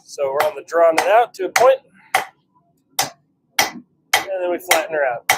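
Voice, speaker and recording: A man's voice: a little high, animated, a little slow. A man giving casual commentary as he works in a noisy forge workshop, musing to himself in short fragments. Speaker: blacksmith hosting a workshop livestream. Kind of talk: casual commentary while working. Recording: very noisy workshop with clicks and clanks.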